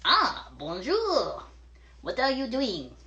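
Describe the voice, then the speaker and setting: A woman's voice: on the high side, huge pitch swings, takes it slowly, bright, forceful tone, lo-fi sound, exaggerated voice. A woman voicing a vain, theatrical furry male creature with a French accent in a children's puppet show, preening and drawing out words. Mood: pleased, curious.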